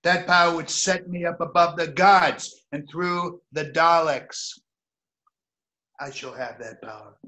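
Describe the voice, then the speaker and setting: An actor playing a gloating megalomaniac sci-fi villain, declaiming grandly in a harsh voice. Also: male voice, a little high, unhurried, bright, forceful tone. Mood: grandiose gloating. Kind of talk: sci-fi drama villain acting.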